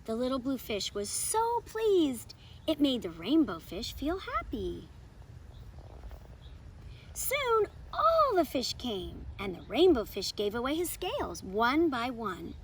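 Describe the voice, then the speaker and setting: Lively high-voiced woman. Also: takes it slowly, a little hiss or hum. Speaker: host of a children's read-aloud channel. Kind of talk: upbeat lesson intro and storybook read-aloud.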